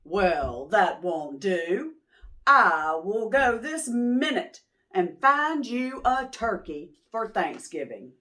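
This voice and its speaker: A woman's voice: mid-range voice, sing-song, takes it slowly, a bit bright. A woman voicing a big, kindly, determined moose husband in a children's storybook. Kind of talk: children's picture book read-aloud.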